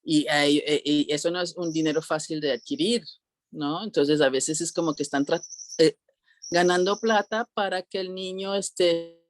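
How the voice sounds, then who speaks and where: A woman's voice: fairly low pitch, steady tone, moderate pace, no echo. A woman speaking as an interview guest in a reflective, informal way, often checking with short tag questions. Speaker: interview guest, cultural organizer.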